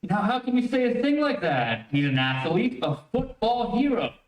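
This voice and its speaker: A man's voice: high-pitched, very animated, normal speed. A man playing an eager, easily exasperated matchmaking father in an old-time radio comedy. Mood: cajoling. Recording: echoey room, distant mic.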